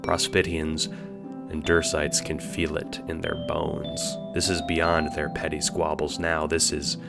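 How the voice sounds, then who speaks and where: A man performs a sly puppet-master of a storyteller in an audio drama, with a very deep male voice. The delivery is theatrical and knowing, made to toy with whoever listens. Music plays clearly underneath. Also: flat delivery, unhurried, heavily acted. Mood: grave, ominous. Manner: teasing, mock-cheerful, swooping between hushes and exclamations.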